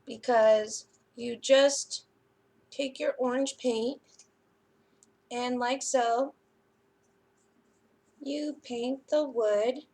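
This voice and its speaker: A woman hosting a children's story time, reading and explaining crafts in an expressive, sing-song manner for young listeners. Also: high-pitched. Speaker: library story time host. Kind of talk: children's picture book reading and craft demonstration.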